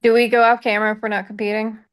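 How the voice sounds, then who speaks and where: A woman's voice: mid-range voice, flat delivery, very fast. A woman contestant chatting over a video call, talkative and self-deprecating. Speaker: game contestant. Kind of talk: casual chat.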